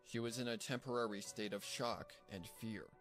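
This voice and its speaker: A man's voice: medium pitch, fairly even intonation, normal speed, fairly bright tone, soft background music. A man reading scripted story narration as the narrator of a fan fiction tale.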